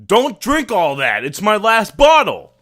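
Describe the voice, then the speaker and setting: A man voicing an angry, hard-drinking male cartoon pony husband who shouts and curses. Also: high-pitched, huge pitch swings, measured pace, voice pushed up, full character voice. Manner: shouting, cursing.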